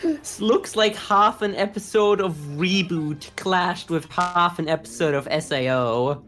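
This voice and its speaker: Female podcast co-host, deep register for a woman, skeptical, conversational anime commentary. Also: a little slow, fairly bright tone, faint music behind. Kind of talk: casual reaction commentary on anime.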